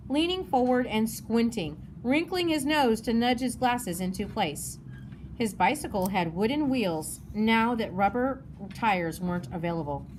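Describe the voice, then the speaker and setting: A woman's voice: a little high, slight background noise, low-quality recording. A woman narrating a children's novel as a read-aloud.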